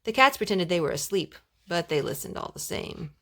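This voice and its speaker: Woman reading a storybook, very animated pitch. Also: fairly quick, slight background noise. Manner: animated, with gentle asides to young listeners. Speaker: storyteller reading a children's book aloud. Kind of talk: expressive storybook read-aloud for children.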